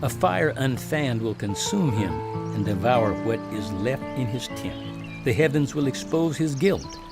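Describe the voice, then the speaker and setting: A severe biblical accuser, indignant and declamatory. His mid-pitched voice delivers grim judgment in the manner of a scripture drama, with music clearly playing behind him. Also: expressive, a little slow. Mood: grim denunciation. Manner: declamatory, forceful rhetoric. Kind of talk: dramatized audio Bible acting.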